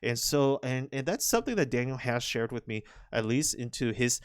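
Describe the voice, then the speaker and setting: Young guy rambling thru go commentary, bit hoarse, smooth mid voice, dry close sound. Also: medium pitch, animated, moderate pace, dry recording. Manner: chatty, rambling, frequent restarts and fillers. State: slightly hoarse, recovering voice. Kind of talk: casual game commentary.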